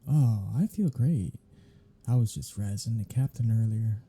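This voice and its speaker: A man playing a captive vampire in an audio roleplay, snarky, taunting and flirtatious, drawling sarcastic lines in a husky, gravelly voice. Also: lowish voice, unhurried, dark tone. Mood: wry, taunting. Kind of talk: scripted audio roleplay monologue.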